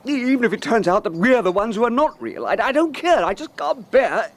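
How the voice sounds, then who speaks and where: An actor playing an anxious middle-aged English husband in a radio science fiction mystery, with a British accent and halting, agitated speech that repeats words. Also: male voice, very high voice, sing-song, exaggerated voice. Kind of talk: radio drama acting.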